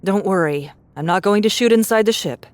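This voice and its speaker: A woman's voice: medium pitch, brisk, darkish tone, no room sound, close to an everyday voice. A woman playing a pragmatic, self-assured young survivor in an audiobook drama, confident and matter-of-fact. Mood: reassuring, matter-of-fact.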